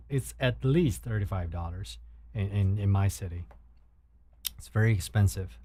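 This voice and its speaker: A man's voice: on the low side, dark tone, no room sound. A young man from China, a native Mandarin speaker with a Chinese accent, chatting casually on a late-night livestream and often repeating words as he searches for English. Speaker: livestream host. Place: indoors at home, late at night.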